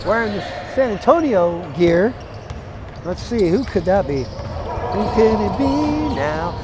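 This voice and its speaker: A hoarse man calling a live basketball game play by play, energetic and chatty, climbing into excited calls. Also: high voice, takes it slowly, heavy background noise. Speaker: play-by-play commentator. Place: arena broadcast position.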